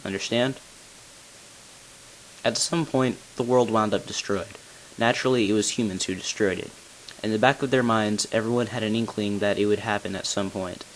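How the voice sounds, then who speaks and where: A man playing a tall, solemn bell ringer who reveals long-kept secrets in grave, deliberate narration for a game. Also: fairly low pitch, fairly even intonation, natural voice. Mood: solemn, explanatory. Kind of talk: scripted character narration, amateur game voice-over. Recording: hissy, noisy amateur home recording.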